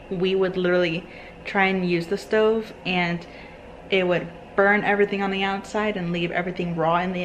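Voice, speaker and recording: A woman's voice: mid-range voice, a little hiss or hum, low-quality recording. A young woman chatting to her camera at arm's length in her home kitchen, and talking baby talk to her toddler. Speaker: vlogger. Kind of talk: casual home cooking vlog. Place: home kitchen and living room, indoors. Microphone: handheld camera at arm's length.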